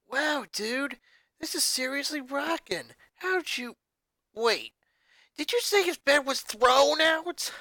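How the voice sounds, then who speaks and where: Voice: high voice, lively intonation, takes it slowly, no echo, voice pushed up, full character voice. A fan reader voicing a fifteen-year-old rocker girl who talks in laid-back slang. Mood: excited. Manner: laid-back slang, shouting when upset. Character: excitable teenage rocker girl, says dude. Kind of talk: fanfic reading.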